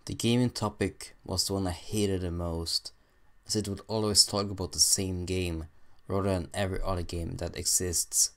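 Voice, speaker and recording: A man's voice: sits low, steady tone, moderate pace. A man reading a fan fiction story aloud in first person, hesitant and stumbling at times. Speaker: amateur story reader.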